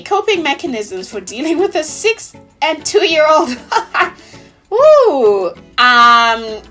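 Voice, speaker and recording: A woman's voice: high-pitched, bright, forceful tone. A woman in her thirties from Tanzania, chatting earnestly and casually to her vlog audience. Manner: earnest, chatty, many "you know"s. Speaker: vlogger.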